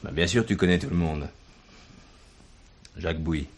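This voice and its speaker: An actor playing a wry, sceptical police investigator in a French radio drama, with a dry, teasing, probing manner. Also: male voice, sits low, moderate pace, slightly rough.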